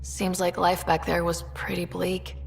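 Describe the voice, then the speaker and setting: A woman's voice: lowish voice, monotone, unhurried, creaky. An actress playing a young, determined woman leading a space expedition in a video game, casual and encouraging. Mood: friendly, curious. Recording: music behind the voice.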